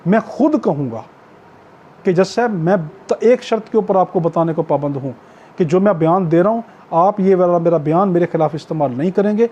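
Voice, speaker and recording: Male lecturer, Pakistani accent, high register with a mellow tone, emphatic and repetitive explaining, some background noise. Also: highs cut off. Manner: emphatic, repetitive, checks understanding with rhetorical questions. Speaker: law teacher. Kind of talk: explanatory exam-prep lecture with acted-out examples.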